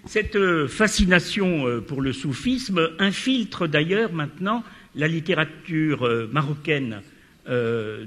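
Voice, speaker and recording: A man moderating a literary panel discussion, asking long questions with many hesitations and fillers. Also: medium pitch. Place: lecture hall. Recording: reverberant lecture hall.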